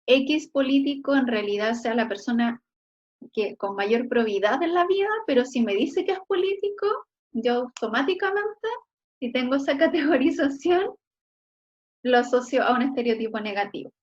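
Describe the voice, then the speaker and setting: A woman's voice: on the high side, expressive, moderate pace, highs cut off. A Chilean woman teaching an online class, checking in with her students often and praising their examples. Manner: frequent checking "¿ya?" tags, encouraging.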